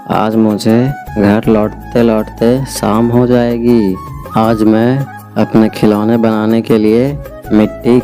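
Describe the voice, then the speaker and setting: A man's voice: on the low side, mellow. A man voicing a hardworking, patient village potter husband in a moral-story cartoon, speaking plainly and earnestly.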